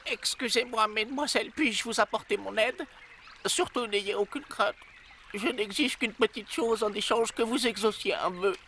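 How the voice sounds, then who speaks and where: An actor playing a despairing enchanted frog prince in a cartoon, speaking formally and theatrically. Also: male voice, high voice, normal speed, sharp tone, slight background noise. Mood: courtly, eager. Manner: formal, theatrical, self-pitying. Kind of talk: French cartoon dubbing.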